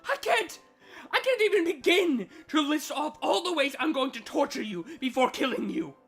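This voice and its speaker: A woman's voice: high-pitched, sing-song, moderate pace. A woman playing a furious, menacing young female villain in a dramatized fan fiction reading, with indignant, emphatic outbursts. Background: background music.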